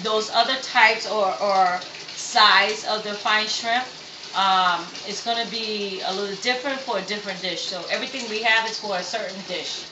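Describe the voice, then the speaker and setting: A woman's voice: medium pitch, steady tone, moderate pace. A woman born in Vietnam who hosts a home cooking show, demonstrating step by step in a chatty way and often checking in with okay. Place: home kitchen. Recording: echoey kitchen with faint background noise.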